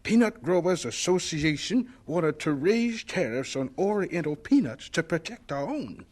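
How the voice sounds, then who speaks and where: Radio drama elder: gentle old American man of science, husky, lilting, modest and hesitant. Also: fairly high pitch, sing-song. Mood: gentle, reminiscing. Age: older. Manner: mild, hesitant, unhurried.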